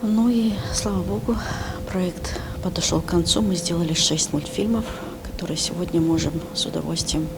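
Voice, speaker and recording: Low, gravelly woman over noticeable background noise. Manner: halting, hesitant phrasing. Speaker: project coordinator. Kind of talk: interview statement. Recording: clear background noise on location.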